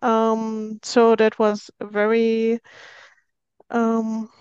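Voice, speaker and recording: A woman in her thirties from Syria, a software engineer and guest, speaking carefully in an interview with many pauses and fillers. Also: fairly high pitch, completely flat delivery, clear, resonant tone, no echo, dull audio. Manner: hesitant, many fillers, careful non-native phrasing. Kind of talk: podcast interview.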